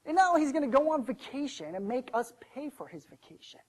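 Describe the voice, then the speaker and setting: A man playing a bitter, indignant servant who rants loudly and repeats his grievances in a storytelling sermon. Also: very high voice, sing-song, fairly quick, creaky, husky, exaggerated voice. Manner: loud ranting, aggrieved, repeats himself. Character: bitter, indignant male servant digging a hole.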